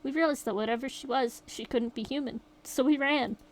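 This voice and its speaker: A woman voicing a shaken young survivor, reading the account aloud in a steady, rueful way. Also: high-pitched, fairly quick, lightly acted. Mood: grim retelling. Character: shaken young survivor recounting a horror night.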